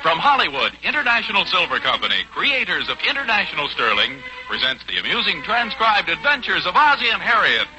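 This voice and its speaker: A man's voice: high voice, very animated, fairly quick, hardly any pauses, rough recording. An American man announcing and narrating a 1940s radio show from a script. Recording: old 1940s radio recording with music. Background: music.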